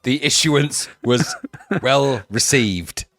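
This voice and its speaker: A man's voice: mid-range voice, slow pace, a bit bright, no echo, clean studio sound. A man co-hosting a podcast with wry, sarcastic humor, reading a scripted intro and bantering. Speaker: podcast co-host. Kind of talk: scripted intro narration and casual chat.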